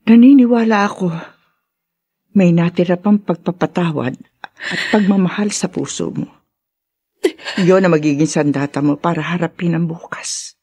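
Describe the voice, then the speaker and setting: A woman playing a gentle older mother who earnestly comforts and advises her troubled daughter in a Filipino radio drama, with a husky voice. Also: on the low side, moderate pace, pauses often. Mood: consoling.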